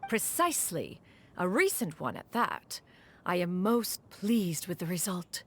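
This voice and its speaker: An actress playing a proud, self-assured immortal inventor in a video game, speaking in a formal, archaic manner. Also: female voice, fairly low pitch, sing-song, measured pace, slight background noise. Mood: proud, explanatory. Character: dignified, proud immortal female adeptus inventor. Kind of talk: video game character acting.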